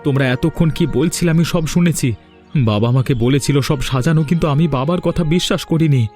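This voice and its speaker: A man's voice: a little high, quick pace, a little mellow, soft background music, close to an everyday voice. Earnest, stubborn young husband voiced by a man. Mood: upset realisation. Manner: earnest, argumentative. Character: stubborn, trusting young husband, a grown son. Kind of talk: dubbed cartoon dialogue.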